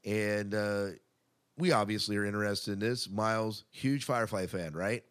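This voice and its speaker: An American man hosts a podcast in a casual, conversational style. His voice sits on the low side as he chats through news items. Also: fairly even intonation, normal speed, smooth. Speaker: podcast co-host. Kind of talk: casual entertainment news chat.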